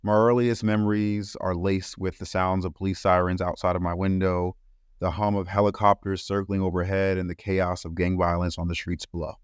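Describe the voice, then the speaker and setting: A man from Brooklyn, a podcast co-host reading a solemn, deliberate reflection. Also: low-pitched, flat delivery, smooth, no room sound, clean studio sound. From Brooklyn, New York. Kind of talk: scripted reflective monologue.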